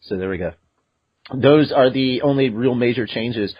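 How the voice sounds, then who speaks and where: Husky-voiced man hosting a podcast. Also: medium pitch, normal speed. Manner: joking, excitable, reads ads loosely. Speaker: podcast host. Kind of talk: casual podcast chat. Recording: lo-fi dull podcast audio.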